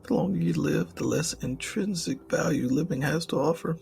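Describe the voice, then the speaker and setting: Gravelly, suave ancient demon, played by a man. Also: on the high side, a little mellow, slight background noise. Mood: jaded, confiding. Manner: drawn-out, theatrical, formal wording, half-whispered phrases. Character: ancient suave male demon, jaded, sly, condescending. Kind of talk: ASMR roleplay monologue.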